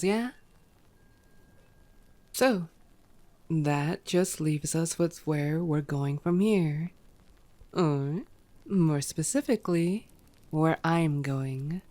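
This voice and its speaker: Woman acting a low, languid, teasing vampire woman. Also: warm tone. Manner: sardonic, teasing, drawn-out words dropping toward whispers. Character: teasing, unrepentant bloodthirsty female vampire, old yet youthful-sounding. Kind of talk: ASMR roleplay acting.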